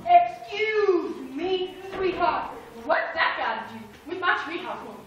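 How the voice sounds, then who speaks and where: A woman voicing sassy, high-pitched Mama Nature. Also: sing-song, measured pace, crowd noise, exaggerated voice. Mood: puzzled. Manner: indignant, sassy. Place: small indoor puppet stage. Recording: echoey room from far off.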